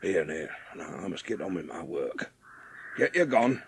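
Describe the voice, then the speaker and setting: Gravelly old Yorkshire gardener. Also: male voice, low-pitched, normal speed, slight background noise. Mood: curt, defensive. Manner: blunt, rustic dialect, expressive. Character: gruff, lonely, sour old Yorkshire gardener. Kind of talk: dramatised audiobook acting.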